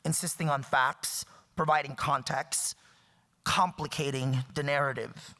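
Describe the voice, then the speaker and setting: A man's voice: medium pitch, steady tone, a little slow, sharp tone. A man in his thirties from the Philippines, giving a public talk in a wry, joking and emphatic way. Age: 30s. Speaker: guest speaker. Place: lecture hall. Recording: reverberant lecture hall.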